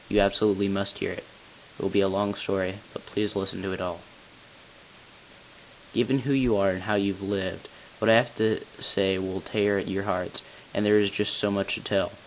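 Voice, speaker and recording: A man playing a tall, solemn bell ringer who reveals long-kept secrets in grave, deliberate narration for a game. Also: fairly low pitch, close to an everyday voice. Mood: grave, urgent. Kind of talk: scripted character narration, amateur game voice-over. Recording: hissy, noisy amateur home recording.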